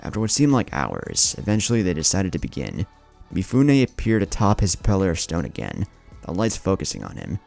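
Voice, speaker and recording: A man with a very low, creaky voice delivers dramatic story narration. His delivery swings widely in pitch, and music plays underneath. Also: wildly swooping pitch, a little fast, darkish tone. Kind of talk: dramatic scripted narration of fan fiction.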